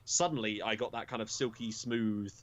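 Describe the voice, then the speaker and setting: A man's voice: fairly low pitch, steady tone, normal speed. A young man chatting frankly as a podcast guest, self-deprecating and swearing casually. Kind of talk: casual chat.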